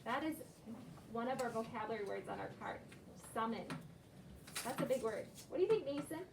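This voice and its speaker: A woman's voice: on the high side, lively intonation, brisk, vocal fry. A woman teacher leading an interactive read-aloud lesson with children, asking questions and repeating and affirming their answers. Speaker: primary school teacher. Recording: roomy, noisy, distant classroom microphone.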